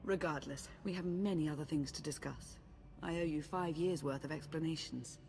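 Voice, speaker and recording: A composed, scholarly adviser. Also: male voice, fairly high pitch, normal speed, creaky, a little mellow. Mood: measured. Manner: composed, explanatory. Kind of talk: video game cutscene acting.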